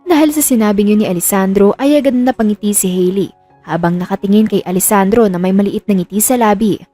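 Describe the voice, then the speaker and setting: A woman's voice: mid-range voice, fast, warm tone, faint music behind. A woman reading a scripted romance story as a dramatic storyteller.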